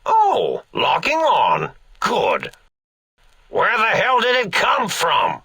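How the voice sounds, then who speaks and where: An actor playing a manic, cackling old mad scientist villain in a video game, theatrical and grandiose, with a raspy, gravelly voice and drawn-out delivery. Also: male voice, sits high, huge pitch swings, sharp tone, exaggerated voice. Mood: frustrated, commanding.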